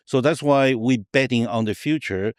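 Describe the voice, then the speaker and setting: A man's voice: medium pitch, moderate pace, no room sound, studio quality. A middle-aged man from Taiwan, explaining things emphatically as a guest in a conversational podcast interview, often checking that he is followed. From Taiwan, raised partly in Argentina. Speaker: interview guest, tech entrepreneur.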